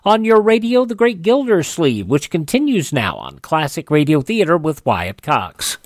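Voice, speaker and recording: High-pitched man reading radio news. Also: sing-song, normal speed, dry recording, clean studio sound. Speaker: radio host and news reader. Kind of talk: scripted news reading and show announcing.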